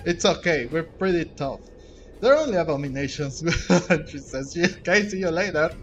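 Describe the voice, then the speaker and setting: A man giving casual, rambling let's-play commentary as a game streamer, speaking haltingly. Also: a little high, animated. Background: game music.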